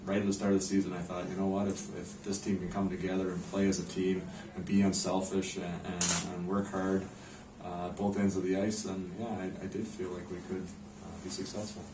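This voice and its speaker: A North American man speaks as a coach at a press conference, in a low, level voice. He is measured and understated, pausing as he goes. The mic sits back from him in a noisy room. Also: level tone. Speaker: head ice hockey coach. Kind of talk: post-game press conference answers. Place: indoor press room in front of a backdrop. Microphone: camera microphone at a distance. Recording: roomy, distant mic, clear background noise.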